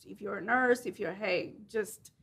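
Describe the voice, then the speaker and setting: A woman's voice: mid-range voice, measured pace, gravelly, a bit bright, husky. A woman in her forties from Puerto Rico, chatting casually and jokingly as a studio interview guest. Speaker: interview guest, freelance animator and designer. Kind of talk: casual conversational chat. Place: indoor studio set at a table.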